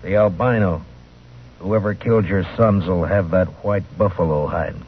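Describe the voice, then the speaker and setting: A stern western lawman, acted by a man, speaks low with terse authority, narrating his own story. It sounds like a muffled old radio drama with music behind. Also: moderate pace, lightly acted. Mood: grave narration. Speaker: lead actor and narrator.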